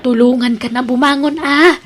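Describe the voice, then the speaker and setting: Younger sister for radio drama, played by a woman: high-pitched, emotional, outspoken, emphatic. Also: moderate pace, close to an everyday voice. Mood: caring. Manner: expressive, emphatic dramatic delivery. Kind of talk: Ilocano audio drama acting.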